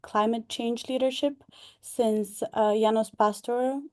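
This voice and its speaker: A woman's voice: on the high side, fairly even intonation, slow pace, a little mellow. A young woman, speaking hesitantly with many fillers about her experience over a video call. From Bulgaria. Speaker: past delegate and graduate scholar. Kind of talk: online information session talk.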